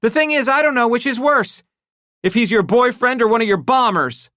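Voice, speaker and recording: A man's voice: sits high, expressive, normal speed, pushed and bright, no room sound, studio quality, voice pushed up. A man voicing a distraught, betrayed wife who accuses her husband in a shaking voice that rises to shrieks. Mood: accusing. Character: distraught betrayed middle-aged wife, shrill.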